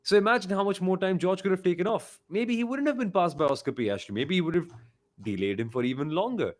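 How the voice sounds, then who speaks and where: Animated Indian man on a podcast. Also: sits high, fast. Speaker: podcast panelist. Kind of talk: casual motorsport banter.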